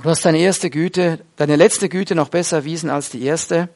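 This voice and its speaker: A man's voice: fairly high pitch, normal speed, darkish tone. A middle-aged man preaching a sermon, explaining a Bible passage in an earnest way with frequent hesitations.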